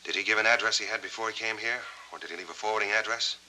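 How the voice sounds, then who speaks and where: An actor playing a troubled, guarded war veteran in a 1940s film noir, speaking tersely. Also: male voice, on the low side, very fast, bright, forceful tone, poor audio. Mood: earnest, uneasy. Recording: old film soundtrack with faint noise.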